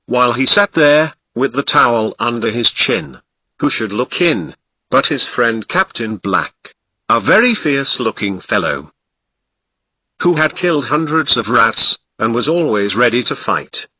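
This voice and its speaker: A man's voice: medium pitch, expressive, a little slow, sharp tone, husky, no room sound, poor audio. A man with a Ghanaian accent reading a nursery fairy tale aloud, lingering expressively on descriptive words. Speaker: narrator. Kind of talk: storybook reading.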